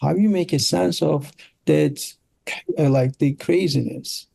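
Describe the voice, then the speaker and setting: Gravelly middle-aged Turkish-accented man. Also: on the high side, warm tone, no echo. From Turkey. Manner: halting, searching for words. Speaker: interview guest, product designer. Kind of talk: casual conversational storytelling.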